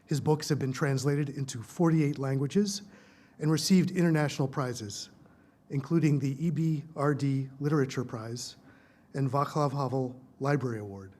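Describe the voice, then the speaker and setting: A man hosting a library event, reading formal introductions of the speakers in a measured, prepared way. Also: mid-range voice, darkish tone. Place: lecture hall at a research library.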